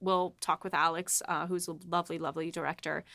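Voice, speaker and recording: A young woman co-hosting a podcast as a writer, chatting casually and gushing with enthusiasm, often tripping over her words when excited. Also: lowish voice, fast, vocal fry. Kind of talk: casual chat.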